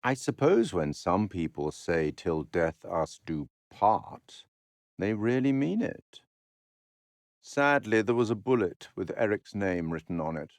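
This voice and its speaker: An urbane, sardonic curator who narrates a dark video game. His voice is on the low side and very unhurried, with dramatic pauses. The delivery is formal, wry and theatrical, sweeping widely in pitch as he weighs the fates of others. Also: expressive, no echo, studio quality. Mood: dry approval, wry.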